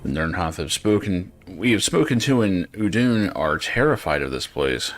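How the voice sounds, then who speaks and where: A man's voice: deep voice, expressive, normal speed, fairly bright tone, faint music behind. A man doing casual gameplay commentary and reading quest text aloud, with dry, muttered, sweary asides. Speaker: game streamer.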